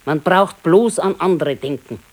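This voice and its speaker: An actress playing a practical, contented older wife in a naturalistic radio play, speaking matter-of-factly with a Bavarian accent. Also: female voice, on the low side, measured pace, a little mellow. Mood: resigned contentment. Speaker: radio drama actor. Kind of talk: naturalistic 1970s radio play dialogue in dialect.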